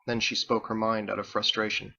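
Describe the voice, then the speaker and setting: A man's voice: fairly low pitch, little pitch movement, a little fast, gravelly, bright, forceful tone, husky, dull audio. A man reading his own book as scripted audiobook narration.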